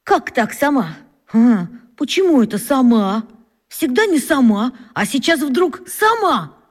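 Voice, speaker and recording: A woman's voice: high-pitched, big pitch swings, a little slow, full character voice. A woman acting a huffy, jealous schoolboy in a children's radio play, indignant and sarcastic. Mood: suspicious. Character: huffy, jealous schoolboy, quick to take offence.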